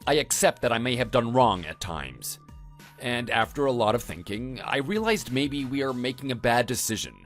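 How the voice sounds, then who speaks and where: Guy doing a sorry husband begging his wife, earnest, very up and down pitch, radio drama. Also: sing-song, moderate pace, a bit bright, faint music behind. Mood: apologetic. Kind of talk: dramatized story dialogue.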